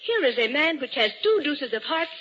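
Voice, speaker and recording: Muffled old radio recording, coquettish young woman, French accent, high swooping voice, pouting, theatrical. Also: very animated, normal speed, slight background noise. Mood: eager, playful. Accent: French, put on. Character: playful, coquettish, meddling young woman reporter. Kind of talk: old-time radio drama acting.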